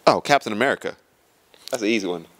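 Playful man in his thirties, lively low voice. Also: quick pace. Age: 30s. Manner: playful, laughing, animated asides. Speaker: interviewee. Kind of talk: casual joking answers. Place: indoor church hall stage. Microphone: handheld mic held by interviewer.

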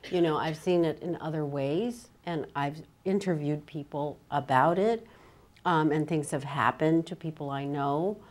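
A woman's voice: sits low, a little slow. Older woman in an onstage conversation. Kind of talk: casual literary panel conversation. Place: on stage in an auditorium before an audience.

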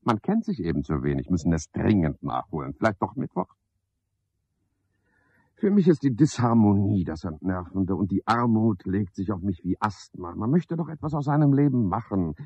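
A garrulous, pompous porter from a radio play, scheming and full of himself. His voice is husky and swings widely in pitch as he piles up wordy, clipped phrases. The sound is that of a dull seventies radio recording. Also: very animated, normal speed, darkish tone, no echo, full character voice. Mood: wheedling, effusive. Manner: wordy, self-important, rambling in clipped phrases. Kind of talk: radio play monologue, theatrical.

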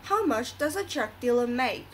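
A woman's voice: fairly high pitch, lively intonation, moderate pace, natural voice. A woman playing an earnest, moralizing talk show host in a scripted radio drama, reading didactically.

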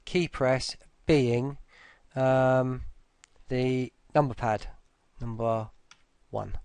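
A man talking through a casual screen-recorded software tutorial, informally, with muttered asides to himself. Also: mid-range voice, fairly even intonation, very slow, clear, resonant tone, no echo.

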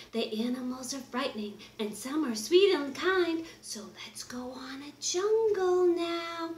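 A middle-aged woman reading a children's picture book aloud in an exaggerated, expressive way, with drawn-out words. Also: high voice. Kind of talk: animated children's story read-aloud. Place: small wood-paneled room indoors. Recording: roomy, echoing indoor recording.

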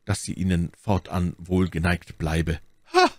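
A man's voice: deep voice, fairly even intonation, measured pace, no echo. Loyal royal messenger, voiced by a man: French manservant, respectful, earnest, audiobook drama, dry recording. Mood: earnest, deferential. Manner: deferential, earnest. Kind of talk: dramatic audiobook character reading.

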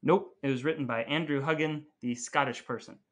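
Guy cohosting a casual podcast, kinda higher voice, jokey and playful. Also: fairly quick, fairly bright tone. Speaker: podcast co-host.

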